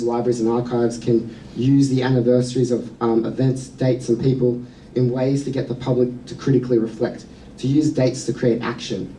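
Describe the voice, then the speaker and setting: Australian man, public speaker, dark mellow tone, earnest and measured, distant mic. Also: mid-range voice, slight background noise. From New South Wales, Australia. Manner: earnest, measured, with frequent "um" fillers. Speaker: speaker at a museum sector event. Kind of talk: prepared public talk. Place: event venue.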